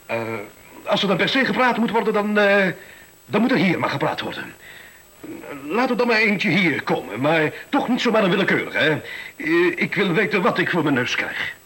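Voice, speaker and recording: An actor playing a pompous, stubborn official who blusters and falters when cornered, in a Dutch radio play. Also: male voice, sits high, big pitch swings, normal speed, a bit bright, breathy edge, full character voice, poor audio. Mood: indignant, flustered. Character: pompous, stubborn official running an education experiment, easily rattled.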